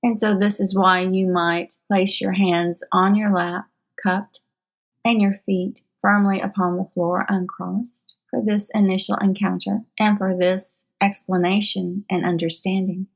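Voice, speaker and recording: A woman guides a meditation at a very unhurried pace. Her mid-pitched voice stays level, with little melodic movement. She phrases things formally and solemnly, pausing often between short groups of words. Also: little pitch movement, gravelly.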